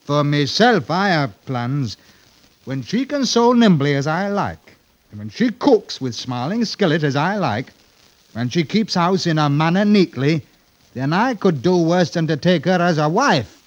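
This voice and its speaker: A man's voice: on the high side, very animated, a little slow. An actor playing a sly, possessive master of a servant girl in 1950s radio drama, speaking in a florid, mocking, theatrical way. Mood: smug. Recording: muffled, noisy vintage radio recording.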